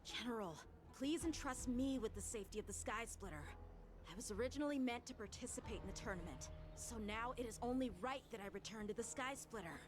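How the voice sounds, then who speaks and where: A woman's voice: a little high, quick pace, a little hiss or hum, lightly acted. A woman voice actor playing a young, devoted boy swordsman in a fantasy video game, formal and earnest. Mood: earnest, determined.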